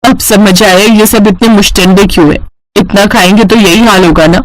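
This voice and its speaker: A woman's voice: medium pitch, steady tone, normal speed, no echo, close to an everyday voice, poor audio. A woman voicing an exasperated young newlywed bride in a cartoon, whining and incredulous. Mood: disgusted, grumbling.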